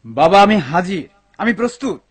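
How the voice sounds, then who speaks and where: A man's voice: high-pitched, big pitch swings, moderate pace, husky, full character voice. A man playing an eager, impatient young son in a dubbed cartoon, earnest and dutiful.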